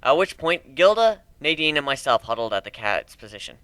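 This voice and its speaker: A man narrates a fantasy tale as an expressive dramatic reading. His mid-pitched voice is smooth and bright, recorded dry and close. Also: a little fast, dry recording. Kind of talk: dramatic reading of a first-person fantasy story.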